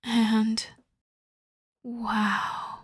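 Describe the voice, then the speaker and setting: A woman voicing an obsessive, starved female vampire in an ASMR roleplay, speaking to the listener with breathy, possessive savoring. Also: on the high side, completely flat delivery, extremely slow, exaggerated voice. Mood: hungry, enraptured.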